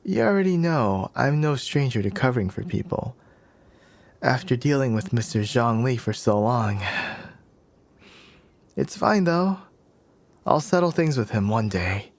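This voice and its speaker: Casting: male actor for smug, playful young fighter, mid-pitched, unhurried, teasing the listener in ASMR roleplay. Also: very animated. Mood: casual, teasing. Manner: teasing, smug, breathy sighs and chuckles.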